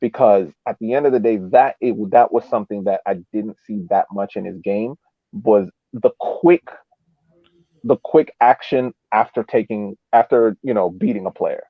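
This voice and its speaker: A man's voice: lowish voice, normal speed, no echo. Man on a thin phone-like line. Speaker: soccer podcast panelist. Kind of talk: casual sports discussion. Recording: phone-like, band-limited call audio.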